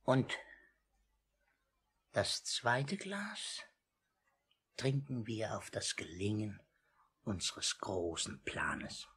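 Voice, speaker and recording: An old gang master in a vintage radio drama. His husky, sharp voice leaps between oily wheedling and snarled threats. He repeats phrases theatrically, greedy and scheming. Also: fairly low pitch, huge pitch swings, drawn-out words, pauses often, full character voice. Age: older. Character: wheedling, scheming old male crime boss, menacing and greedy. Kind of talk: 1950s German radio play acting.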